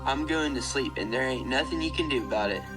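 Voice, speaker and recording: A man's voice: mid-range voice, fast, over music, close to an everyday voice, poor audio. Man playing a sarcastic, weary cellmate. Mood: dismissive disbelief. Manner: sarcastic, dismissive. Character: skeptical, weary male cellmate, dismissive. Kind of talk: comic audio drama acting.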